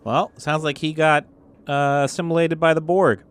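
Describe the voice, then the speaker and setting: A man playing a tabletop role-playing game, chatting casually and thinking his choices through out loud. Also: a little high, fairly even intonation, moderate pace, smooth. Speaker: tabletop role-play player.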